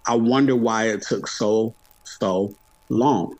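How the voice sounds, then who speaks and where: A man's voice: lowish voice, takes it slowly, a little mellow, dry recording, low-quality recording. A middle-aged man chatting casually in an interview, repeating phrases for emphasis. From Atlanta, United States. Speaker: podcast guest, hip hop podcaster.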